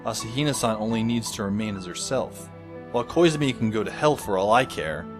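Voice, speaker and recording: A man's voice: lowish voice, lively intonation, brisk, lightly acted. Man playing a wry teenage boy narrator over music. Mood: wistful, wry. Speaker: narrator and lead character. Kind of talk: first-person audio drama narration. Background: music under the voice.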